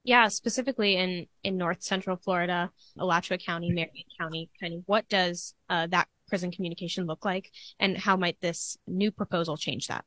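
A woman's voice: on the low side, steady tone, a little fast, hardly any pauses, fairly bright tone, no room sound. An American woman hosting a news podcast, narrating stories and putting questions to a guest. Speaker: radio news podcast host, interviewer.

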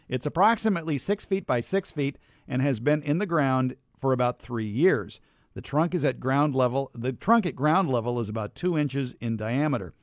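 A man's voice: mid-range voice, no echo. A man with a mid-range voice hosts a gardening radio show. He reads a listener's letter plainly, then jokes and teases his co-host in a wry way. The recording is dry and close. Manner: wry, teasing banter. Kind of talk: casual radio chat, reading a listener letter.

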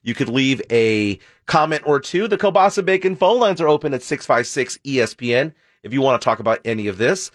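A man hosting a sports radio show, chatty and upbeat, his voice scratchy and hoarse from an illness. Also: medium pitch, lively intonation, no room sound, clean studio sound. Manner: upbeat, chatty, quick banter. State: hoarse, ill. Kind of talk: casual sports radio talk. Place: radio studio.

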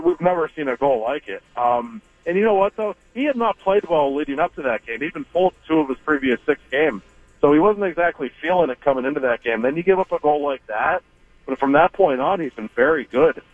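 A man's voice: mid-range voice, dry recording. Phone-in male hockey reporter, dry tinny line, laid-back chatty delivery. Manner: relaxed, chatty, many fillers. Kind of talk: casual radio interview by phone.

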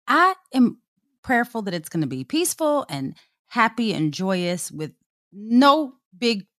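A woman's voice: fairly high pitch, very animated, measured pace, fairly bright tone. A woman in her late thirties co-hosting a podcast, upbeat and affirming, repeating phrases for emphasis. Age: late 30s. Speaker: podcast co-host. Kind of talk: casual chat.

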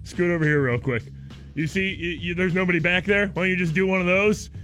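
A man's voice: sits high, fairly quick, faint music behind, low-quality recording. A man co-hosting a morning radio show in casual, joking banter, with a sore throat and a voice that is going. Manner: excitable, sarcastic, joking.

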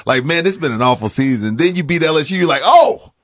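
Animated male sports radio co-host. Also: fairly high pitch, quick pace, no room sound, studio quality. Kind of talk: casual sports banter.

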